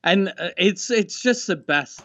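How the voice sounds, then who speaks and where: Co-host: man with a high voice, laid-back and rambling, dull dry podcast recording. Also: animated, a little slow, a bit bright, no echo. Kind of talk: casual chat.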